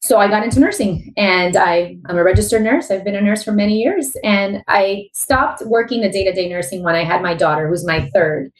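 A woman's voice: mid-range voice, brisk, hardly any pauses, echoey room, rough recording. A woman in her thirties with a Miami accent, a guest telling her story openly in a casual interview. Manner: open, candid storytelling.

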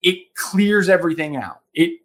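A man's voice: on the high side, moderate pace, fairly bright tone. A man in his thirties, a guest on a podcast, giving earnest financial advice and often checking in with "right?". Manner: earnest, advisory, emphatic with repeated "right?". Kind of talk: casual podcast interview.

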